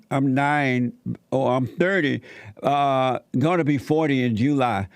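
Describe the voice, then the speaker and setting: A man's voice: mid-range voice, clear, resonant tone, no room sound, studio quality. A man filling in as host of a call-in talk show, chatting casually and breaking off into tangents with callers. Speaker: fill-in radio show host.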